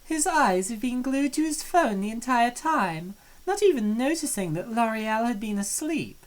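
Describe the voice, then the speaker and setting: Comic-dub villain: woman as cold, hungry female therapist, ominous clinical delivery, raised pitch, savoring her words. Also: animated, normal speed, close to an everyday voice. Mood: coldly analytical. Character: sinister predatory female therapist, coldly analytical, hungry for prey.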